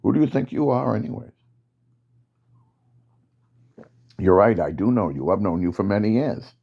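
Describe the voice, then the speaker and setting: A man's voice: low-pitched, lively intonation, moderate pace, creaky, dull audio. A man voicing a doubtful male soldier who questions and mocks his commander's orders. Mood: defiant. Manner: hesitant at first, then mocking and challenging.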